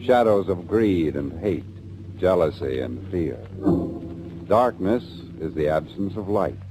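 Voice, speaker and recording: A man's voice: low-pitched, expressive, slow pace, noisy background. A man narrating a 1940s radio suspense show as announcer, in a solemn, ominous and theatrical manner. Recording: old 1940s radio recording. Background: dramatic music.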